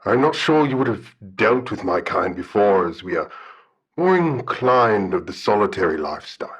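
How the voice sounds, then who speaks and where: An actor playing a solemn, shy, bear-like guardian spirit in an audio drama, speaking formally and hesitantly. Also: male voice, lowish voice, big pitch swings, moderate pace, a bit bright, breathy edge, highs cut off, full character voice. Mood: formal, reserved. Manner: formal, hesitant, articulate speech. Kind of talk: audio drama radio call-in acting.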